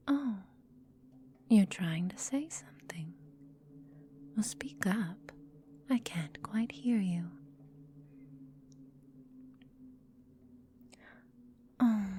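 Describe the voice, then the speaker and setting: A woman playing a possessive, cruel, seductive vampire mistress in a breathy whispered ASMR roleplay, with slow, teasing, menacing phrasing. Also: medium pitch, warm tone, a little hiss or hum. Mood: mocking, teasing.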